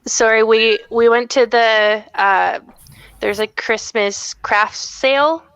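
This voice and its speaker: A woman's voice: a little high, fairly even intonation, takes it slowly, a bit bright, smooth. A woman chatting casually and apologetically, easily sidetracked, on a slightly lo-fi microphone. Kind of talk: casual chat during a table read.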